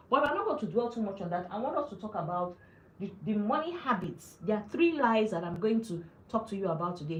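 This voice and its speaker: A woman's voice: mid-range voice, echoey room, poor audio. A woman hosting a live finance talk, speaking earnestly to her viewers and repeating phrases for emphasis. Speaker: personal finance host.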